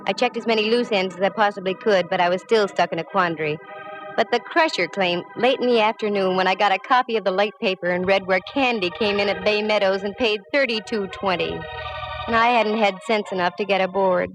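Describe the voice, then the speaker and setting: A woman's voice: mid-range voice, fairly quick, over music, lightly acted, low-quality recording. An actress playing a sassy, confident female private eye in a 1940s radio detective drama, with wry, slangy delivery. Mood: wry narration. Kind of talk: 1940s radio detective acting and first-person narration. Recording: vintage 1940s radio recording.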